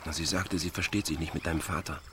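A man's voice: rumbling low, level tone, fairly bright tone, a little hiss or hum. An actor playing a shy, hesitant, awkwardly tender man in a German radio play. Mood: awkward, wistful. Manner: halting, reticent.

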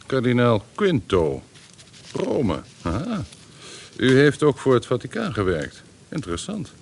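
An actor playing a wealthy, boastful, self-important gentleman in a Dutch radio play. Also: mid-range voice, huge pitch swings, measured pace, vocal fry, a little hiss or hum, heavily acted. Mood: formal, appraising. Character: wealthy boastful gentleman showing off his country estate.

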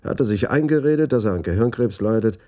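An actor playing a businesslike, decisive police detective in a radio crime drama. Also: male voice, lowish voice, lively intonation, fast talker, mellow, natural voice. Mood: exasperated.

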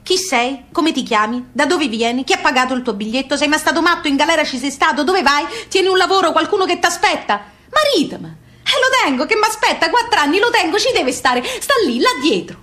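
A high female voice plays an emigrant mother in a theatre monologue. Her Southern Italian accent is earthy and colloquial. She circles back on phrases rhythmically, loving and stubborn as she tells her story. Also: lively intonation, a little fast, bright, forceful tone. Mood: excited. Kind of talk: theatrical monologue in dialect-tinged speech.

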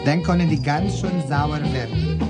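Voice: mid-range voice, normal speed, lightly acted. A performer playing a streetwise, touchy transgender woman sex worker in a radio drama, with drawling, sassy slang. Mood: defensive, frank. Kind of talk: colloquial German radio drama acting. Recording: music behind the dialogue.

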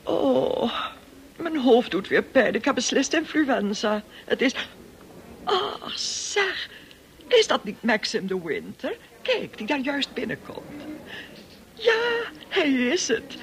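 A woman's voice: sits high, big pitch swings, takes it slowly, a little hiss or hum, heavily acted. An actress playing a snobbish, gushing, domineering middle-aged society lady in a radio drama, with a pompous, affected, bossy manner. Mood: complaining, then excited, bossy.

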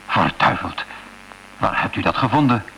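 An actor playing a courteous, eager monuments inspector in a radio play, polite and a little officious. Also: male voice, lowish voice, expressive, moderate pace, creaky, pushed and bright, husky, heavily acted, low-quality recording. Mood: polite, intrigued.

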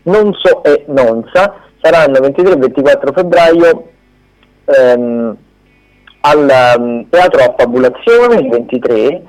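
A man chatting unscripted on the radio as he runs through theatre listings, halting and often correcting himself. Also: mid-range voice, a little mellow, low-quality recording. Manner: hesitant, halting, self-correcting. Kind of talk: unscripted radio chat, event rundown.

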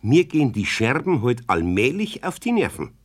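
A man's voice: medium pitch, sing-song, normal speed, breathy edge. Older bavarian craftsman, grumbly but kind, voice goes up n down a lot, radio play. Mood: irritated. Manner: homely, grumbling dialect talk. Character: grumbling, good-natured older male master craftsman. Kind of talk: 1970s radio play acting.

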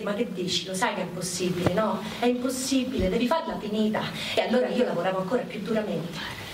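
A woman's voice: a little high, a little hiss or hum, close to an everyday voice. An actress playing a proud, lovelorn dead woman of the Great War in a dramatic, emotive theatrical monologue. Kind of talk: theatrical first-person monologue. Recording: echoey, distant miking, music behind.

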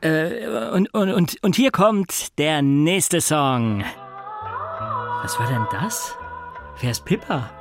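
An actor playing a jokey, showy radio sidekick in a radio drama, teasing and easily flustered. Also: male voice, on the high side, very animated, takes it slowly, soft background music, exaggerated voice. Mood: flustered, incredulous.

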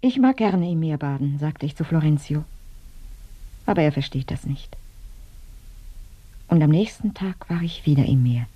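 A woman acting in a German radio play as a grieving, sensual dead woman, murmuring dreamily with a breathy half-whisper. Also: low voice, animated, normal speed, pauses often, lo-fi sound. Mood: dreamy, sensual.

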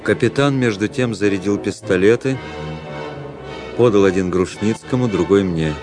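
Actor as low-voiced, composed officer recounting a duel. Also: male voice, music in the background, close to an everyday voice. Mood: tense, controlled. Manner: measured, literary, composed. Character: cool, proud, fatalistic young officer recounting his duel. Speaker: narrator and lead actor. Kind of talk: radio drama narration.

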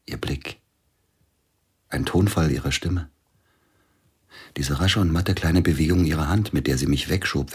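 A shaken, grieving pianist in a radio play, his voice extremely deep. It is rough and husky, subdued and halting, and lingers over the words. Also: darkish tone, heavily acted. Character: shaken grieving middle-aged man, a famous pianist.

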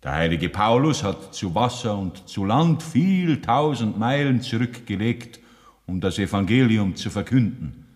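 A man with a Bavarian accent narrates a radio drama like a sermon, solemn and preachy. His low voice moves slowly and rises and falls widely. Long reverb hangs around it. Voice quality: solemn, preachy, slow and deliberate. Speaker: narrator preaching a moral tale.

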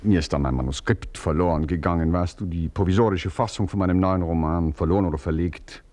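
Man doing a lively husband in a radio play, low mellow voice, fussy then tender. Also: brisk, close to an everyday voice. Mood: fretful. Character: distracted novelist husband, soothing his wife. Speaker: novelist husband.

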